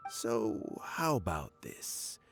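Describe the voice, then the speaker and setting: An actor playing a weary, dignified elderly statesman in a video game, formal and measured, with a gravelly voice. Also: fairly low pitch, sing-song, music in the background, exaggerated voice. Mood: deliberate, shrewd. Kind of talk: video game dialogue acting.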